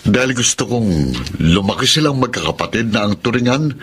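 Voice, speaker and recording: A man's voice: medium pitch, animated, moderate pace, fairly bright tone, a little hiss or hum, natural voice. An actor playing a stern, protective middle-aged father in a Filipino radio drama, speaking with a Filipino accent. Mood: firm, impatient.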